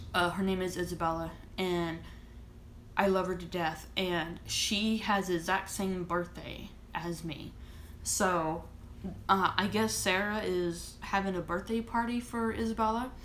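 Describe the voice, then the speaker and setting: A woman's voice: lowish voice, fairly bright tone, slight background noise. Chatty woman in her thirties, echoey room. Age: 30s. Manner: rambling, chatty, trailing off and restarting. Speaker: vlogger and author. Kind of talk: casual chat to camera.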